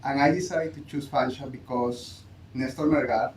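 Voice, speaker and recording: A man from Peru, a student ambassador answering panel questions in halting English that he often corrects. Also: medium pitch, steady tone, darkish tone, slight background noise. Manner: halting, self-correcting non-native English. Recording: echoey livestream audio.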